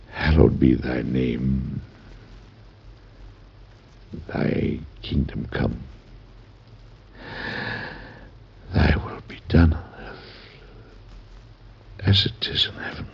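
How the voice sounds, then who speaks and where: An actor playing a gentle, kindly older president in an old-time American radio drama, speaking with courtly tenderness. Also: male voice, very deep voice, vocal fry, exaggerated voice. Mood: solemn, prayerful. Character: gentle, kindly older president, devoted husband, later weakening. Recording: dull, noisy vintage radio recording.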